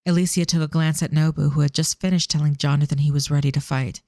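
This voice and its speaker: A narrator reading a scripted audio drama in a measured, descriptive storytelling manner. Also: mid-range voice, flat delivery, gravelly, warm tone, no echo, studio quality.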